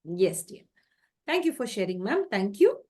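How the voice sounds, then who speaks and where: A woman's voice: medium pitch, big pitch swings, normal speed, a little mellow. A woman hosting an online interview, polite and formal, addressing her guest as dear and ma'am. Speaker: interviewer, host.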